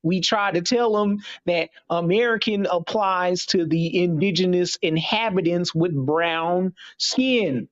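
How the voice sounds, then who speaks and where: Slow-talking young man, dull lo-fi sound. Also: sits high. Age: 20s. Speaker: guest. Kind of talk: casual online discussion.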